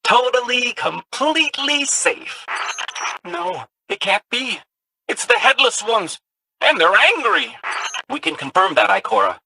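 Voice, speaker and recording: An actor playing a chirpy, nerdy robot companion with an excitable, swooping delivery. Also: male voice, very high voice, unhurried, vocal fry, full character voice. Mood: excited.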